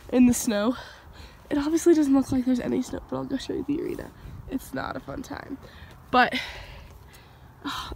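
A woman's voice: high voice, gravelly, a little mellow, slight background noise. A young woman chatting casually to her phone camera outdoors at a horse barn, stuffed up and still getting her voice back after losing it, rambling with lots of "like". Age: young adult. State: stuffed up, recovering from lost voice. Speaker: equestrian vlogger. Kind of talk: casual vlog chat. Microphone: phone camera held at arm's length.

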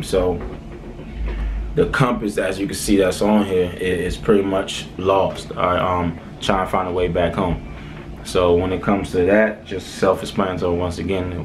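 A man's voice: deep voice, noisy background. A young man from Haiti with a light Haitian accent, talking casually to camera in a small room, loose and rambling with lots of "um"s and "all right"s. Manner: laid-back, rambling, many "um"s and "all right"s. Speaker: vlogger. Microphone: camera close in front.

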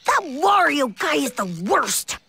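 A woman's voice: very animated, slow pace, heavy background noise, full character voice. A woman voicing a feisty, determined little girl hero in a video game cutscene. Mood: indignant, determined. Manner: bold, squeaky, exaggerated.

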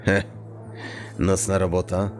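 Man acting a slow, low-voiced scheming thief. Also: monotone, soft background music. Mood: chummy. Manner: mock-archaic, quirky halting phrasing, deliberate. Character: scheming, chummy street thief, adult man. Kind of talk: acted fantasy audio drama dialogue.

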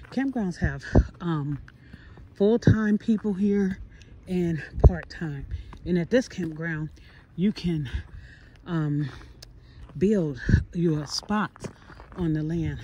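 Female vlogger, middle-aged. Unhurried, chatty, plain-spoken, recorded on a handheld phone with noticeable background noise. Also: on the low side, mellow, muffled recording. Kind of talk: casual vlog chat to viewers. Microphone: phone at arm's length.

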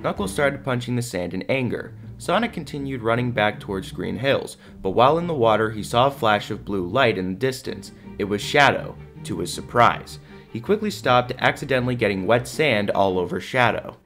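A man's voice: mid-range voice, lively intonation, moderate pace, fairly bright tone, faint music behind. A man giving scripted storytelling narration for an audio drama.

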